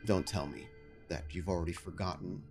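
A man playing a formal, composed vampire prince with a measured, quietly probing manner in a tabletop game. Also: deep voice, fairly even intonation, vocal fry, over music. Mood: coolly probing.

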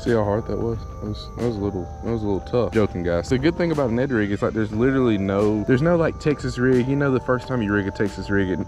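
Young male fishing vlogger, low voice, rapid and playful, outdoor action-cam sound with music underneath. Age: young adult. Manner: fast, joking, hyped up and chatty. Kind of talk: casual fishing vlog talk to camera. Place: outdoors, gazebo by a pond, then on a kayak. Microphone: action camera, chest and handheld.

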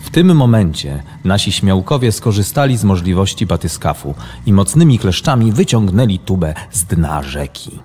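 A man's voice: deep voice, sing-song, normal speed, few pauses, background noise. A man narrating a scripted children's story as a storyteller. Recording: long reverb under music.

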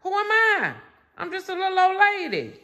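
A woman's voice: very high voice, wildly swooping pitch, moderate pace, sharp tone. A middle-aged woman hosting a family vlog, bossy and blunt, teasing and grilling people in casual slang at home. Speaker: vlog host and mother.

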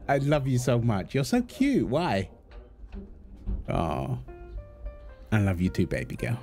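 A man playing a gentle, reassuring young father and husband in an intimate audio roleplay, talking in a soothing, affectionate, casual way. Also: medium pitch, huge pitch swings, takes it slowly, gravelly, soft background music, heavily acted. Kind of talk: intimate comfort roleplay, casual one-sided dialogue.

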